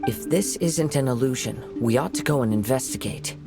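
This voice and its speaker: An actor voicing a gentle, reserved young wanderer in a video game, soft-spoken, polite and thoughtful. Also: male voice, mid-range voice, normal speed, vocal fry, over loud music, natural voice. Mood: pensive.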